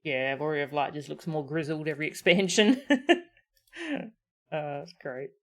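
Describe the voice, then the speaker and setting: A woman's voice: sits low, moderate pace. A woman streamer giving casual, enthusiastic reaction commentary on a game. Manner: enthusiastic, admiring asides.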